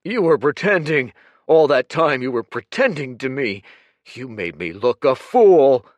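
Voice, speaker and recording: A man voicing a huge, hot-tempered young man who shouts and snarls, his voice cracking with grief. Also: medium pitch, slow, no room sound, voice pushed up. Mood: furious.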